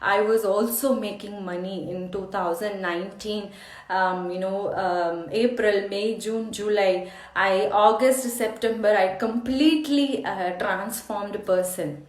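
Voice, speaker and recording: Casting: female life coach, Indian accent, unhurried delivery, earnest personal storytelling, roomy echoing sound. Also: mid-range voice, steady tone, a little mellow. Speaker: life coach hosting a meditation challenge. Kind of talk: personal motivational storytelling to camera.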